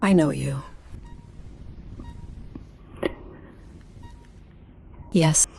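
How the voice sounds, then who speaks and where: Low-voiced, brooding, anguished wife played by an actress. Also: female voice, gravelly, a little mellow, slight background noise. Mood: bitter brooding. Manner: halting, brooding, speaks in short broken phrases. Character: anguished wife of an unconscious musician, mother of a young boy. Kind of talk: radio drama acting, intimate monologue.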